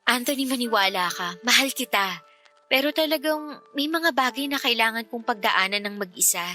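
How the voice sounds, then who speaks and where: A woman's voice: a little high, animated, moderate pace, sharp tone, faint music behind. A woman playing a self-sacrificing young working woman in a Tagalog radio drama, earnest, emotional and firm. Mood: sorrowful, firm.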